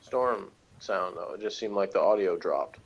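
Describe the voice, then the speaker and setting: A man's voice: deep voice, moderate pace. Dull lo-fi recording of a flippant man chatting. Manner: slangy, flippant, hesitant. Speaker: guest co-reviewer. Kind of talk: casual joking chat.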